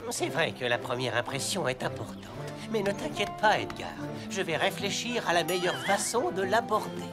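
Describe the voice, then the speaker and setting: A man's voice: sits high, expressive, moderate pace. A man voicing a pompous, learned and cautious little animal scholar in a children's cartoon, formal and fussy. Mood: courteous, pompous. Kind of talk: French-dubbed children's cartoon acting. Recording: loud music underneath.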